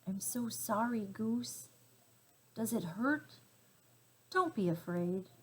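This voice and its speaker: A woman's voice: on the high side, animated, takes it slowly, slightly rough, full character voice, poor audio. A woman voicing a tender, earnest young girl in a storybook, with an encouraging, hopeful manner. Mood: tender, hushed. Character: tender, earnest young girl who loves a lame goose. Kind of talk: storybook character voice.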